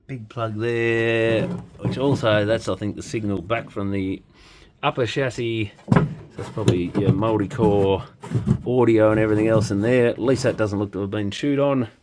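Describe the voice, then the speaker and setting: A man's voice: lowish voice, steady tone, normal speed, background noise. A man with an Australian accent giving casual, unscripted hands-on commentary as a repairer, musing in an understated way. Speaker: electronics repairer presenting his channel.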